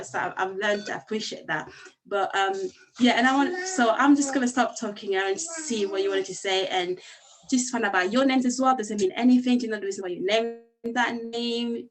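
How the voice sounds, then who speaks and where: A woman who grew up in Congo with Lingala as her first language, casually telling her own story on a video call, with many restarts. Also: mid-range voice. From Congo and Angola. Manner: warm, rambling, with many restarts. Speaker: speaker sharing her story with a group. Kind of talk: casual personal talk.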